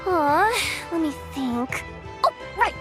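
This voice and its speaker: An actress playing a frazzled, overwhelmed young event coordinator in an anime-style video game, flustered and chatty. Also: female voice, extremely high pitch, sing-song, slow pace, full character voice. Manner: flustered, chatty, self-doubting. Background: background music.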